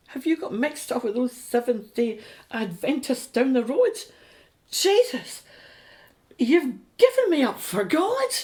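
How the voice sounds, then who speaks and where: An actress playing a sarcastic, exasperated middle-aged British wife, practical and sceptical, in a radio play. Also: female voice, high-pitched, darkish tone. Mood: hurt, exasperated.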